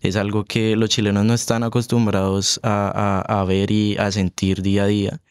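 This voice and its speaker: A young man with a Bogotá Colombian accent talks in a low, resonant voice. He hesitates and restarts often as a student guest on a radio panel. The studio sound is very dry. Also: little pitch movement, clean tone, no room sound. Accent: Bogotá Colombian Spanish. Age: young adult. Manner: halting, many fillers and restarts. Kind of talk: radio discussion. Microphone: studio microphone.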